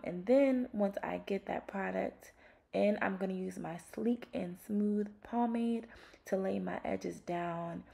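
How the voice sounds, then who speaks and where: A young woman with a raspy voice, chatting casually through a hair tutorial at home, with lots of ums. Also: fairly low pitch. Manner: relaxed, chatty, peppered with ums. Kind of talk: casual how-to narration. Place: home bathroom, indoors.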